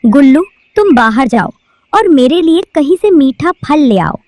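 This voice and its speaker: A woman's voice: high-pitched, very animated, unhurried, a little mellow, no echo. A woman voicing a bossy, greedy female crow teacher in a cartoon, with a scolding manner. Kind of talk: cartoon voice acting.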